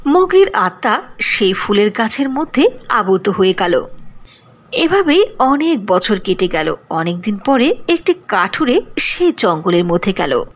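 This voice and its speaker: A woman narrating a cartoon fairy tale in a sing-song storytelling manner. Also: a little high.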